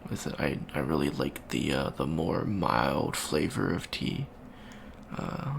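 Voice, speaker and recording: A man playing an awkward, bookish young alien college student in an ASMR roleplay, talking softly and hesitantly with many ums and stammers. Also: very deep voice, unhurried, gravelly, a little hiss or hum, full character voice. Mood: awkward, musing. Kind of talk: soft-spoken ASMR roleplay.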